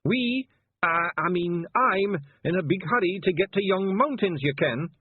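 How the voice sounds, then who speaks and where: A man voicing a pushy, rich male passenger who speaks broad Scots in a gruff, bossy way, with a husky voice. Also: high voice, lively intonation, a little slow, no room sound, pitched above the performer's own voice, heavily acted. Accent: Scottish. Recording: dry tinny phone-like sound.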